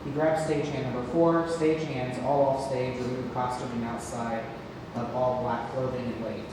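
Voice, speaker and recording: A man's voice: mid-range voice, little pitch movement, normal speed. Man reading stage directions, very echoey hall. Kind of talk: scripted narration. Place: theatre room, recorded from far off. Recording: distant echoey hall, clear background noise.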